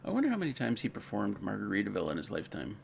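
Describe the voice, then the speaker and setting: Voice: deep voice, huge pitch swings, very fast, creaky, slight background noise. A co-host chatting casually during a livestream at an off-grid cabin, musing aloud and laughing lightly. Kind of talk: casual chat.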